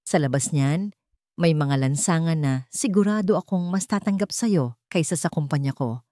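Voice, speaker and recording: A woman's voice: low-pitched, animated, normal speed, mellow, no room sound, clean studio sound. A woman voicing a stern, righteous male chairman with a controlled, commanding manner in a drama story. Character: stern, righteous male company chairman, wealthy, grateful son. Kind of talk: dramatic audio storybook acting.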